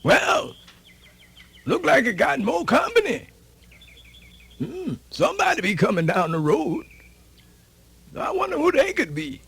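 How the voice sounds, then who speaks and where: A man playing a folksy, cheerful old country storyteller, stammering and muttering in a gravelly voice for radio theatre. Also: extremely high pitch, wildly swooping pitch, fairly bright tone, slight background noise, heavily acted. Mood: folksy, musing. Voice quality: creaky, gravelly. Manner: stammering, muttering, drawn-out rustic talk. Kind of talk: radio theatre character acting.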